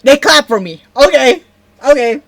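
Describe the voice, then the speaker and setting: A woman's voice: sits high, animated, moderate pace, gravelly, no room sound. A young woman from Indonesia with an Indonesian accent, telling a story in a casual vlog, rambling, stopping to correct herself and often asking "right?". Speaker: vlogger.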